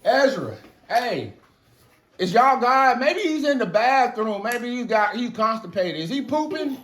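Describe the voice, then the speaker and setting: Man putting on a high, mocking, cocky prophet. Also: normal speed, a bit bright, roomy sound. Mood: mocking, gleeful. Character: mocking, cocky male prophet taunting his rivals.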